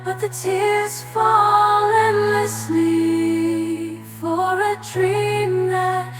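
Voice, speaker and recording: Female singer, mournful ballad, very high pitch, prominent music backing. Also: flat delivery, drawn-out words. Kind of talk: sung mournful lullaby-like ballad.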